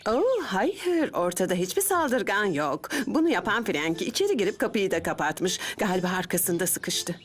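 A woman's voice: mid-range voice, sing-song, moderate pace, hardly any pauses, natural voice. A woman playing a friendly cartoon townsperson who speaks with dramatic emphasis. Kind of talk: animated cartoon dub acting. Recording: music behind the voice.

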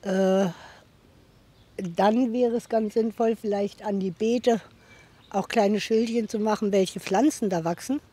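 A woman's voice: mid-range voice, steady tone, slow pace, warm tone, husky. A woman interviewed as a visitor, giving practical answers in a conversational way. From Bielefeld, Germany. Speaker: garden show visitor interviewed. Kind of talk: unscripted street interview.

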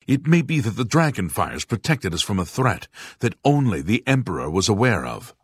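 A man playing a grave aging monk and former guard captain in a fantasy video game, speaking formally and deliberately with a husky voice. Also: fairly low pitch, big pitch swings, no echo, clean studio sound. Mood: solemn. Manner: formal, solemn, deliberate enunciation.

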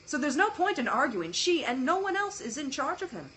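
A woman's voice: high-pitched, sing-song, a little fast, bright, forceful tone, slight background noise, voice pushed up, heavily acted. Woman reading as a strict father settling a squabble, formal and firm, storybook voice. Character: stern grown-up father, a doctor.

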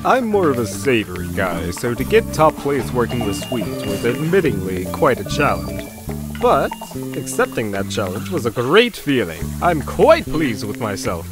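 A man's voice: medium pitch, sing-song, normal speed, lightly acted. A man voicing a proud, self-satisfied male duck creature competing in a cartoon baking show, composed and smug. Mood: proud, pleased. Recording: music behind the voice.

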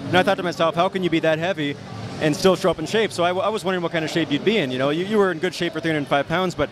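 A man's voice: a little high, very fast. A young man hosting an interview at an expo booth, chatty and long-winded, repeating himself while holding a handheld mic. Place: busy expo hall booth. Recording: noisy trade show floor.

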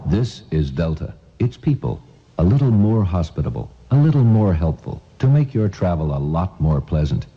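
A man's voice: deep voice, sing-song, moderate pace, slightly rough. A man reading a scripted 1960s radio commercial as an announcer. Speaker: airline advertisement announcer. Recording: dull 1960s AM radio recording.

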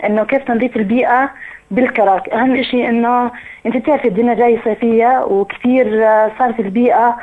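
Fast-talking young Jordanian woman on the phone. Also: a little high, fairly even intonation, darkish tone. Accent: Jordanian Arabic. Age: 20s. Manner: earnest, rambling, many fillers. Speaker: caller. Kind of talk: phone-in call. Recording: phone line audio.

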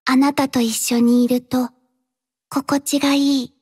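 An actress voicing a reserved, dutiful young female mercenary in an anime-style mobile game, speaking in a quiet, terse, halting and earnest way. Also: high-pitched, flat delivery, breathy edge. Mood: soft, reserved.

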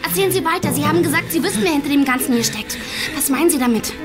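A young nurse, composed and deliberate, with a high, soothing voice that hides a killer's coldness. She is blind and speaks as in an audio drama, with music clearly behind her. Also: animated. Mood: urgent. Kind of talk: German audio drama acting.

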